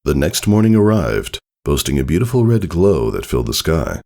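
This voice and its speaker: A man's voice: a bass voice, very animated, normal speed, gravelly, warm tone, faint music behind. A man reading a story aloud as narrator, in a scripted storybook style. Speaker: narrator, fan fiction reader.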